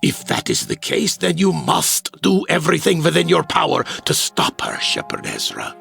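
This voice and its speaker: An actor playing a pompous, defensive bishop in an audio drama, indignant and theatrical. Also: male voice, on the high side, wildly swooping pitch, normal speed, creaky, fairly bright tone, soft background music, heavily acted. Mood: grave, alarmed. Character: imperious, defensive male bishop, outraged authority. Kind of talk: dramatic audio fiction acting.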